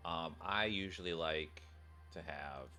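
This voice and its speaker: Very deep-voiced man streaming, music behind. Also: slow, pushed and bright. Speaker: streamer reacting to a game. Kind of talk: casual live commentary.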